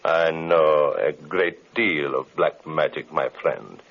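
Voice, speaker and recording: An actor playing a menacing, mockingly courteous villain sorcerer in an old radio drama, with a sinister, taunting delivery. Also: male voice, a bass voice, slow pace, creaky, heavily acted. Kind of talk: 1940s radio drama acting. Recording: dull old radio recording.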